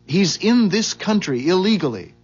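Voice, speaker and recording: A man's voice: high voice, very animated, moderate pace, husky, soft background music, exaggerated voice. An American actor playing an uneasy, earnest husband and company man in a 1970s radio drama. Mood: nervous. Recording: muffled, noisy vintage radio broadcast.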